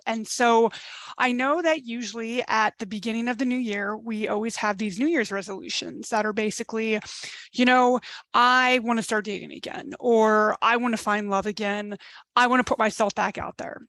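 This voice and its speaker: A woman's voice: on the high side, fairly bright tone, dry recording. A middle-aged woman dating coach giving a live webinar masterclass, talking in a chatty, emphatic way and acting out her listeners' complaints.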